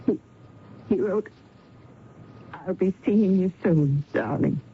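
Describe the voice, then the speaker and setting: Defensive, grieving widow, halting and indignant, old radio drama, muffled with background noise. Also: female voice, lowish voice, vocal fry. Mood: distressed, pleading. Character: distraught, defensive widow of a missing man. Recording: dull, noisy old radio recording.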